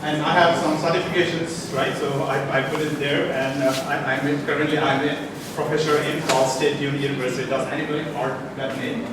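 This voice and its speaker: A man's voice: a little high, flat delivery, a little fast. A man in his forties from Nepal, giving a conversational guest lecture and quizzing the audience. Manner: conversational, asks the audience questions, many restarts. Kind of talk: informal classroom lecture. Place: large echoey lecture hall. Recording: echoey hall, distant mic, noise.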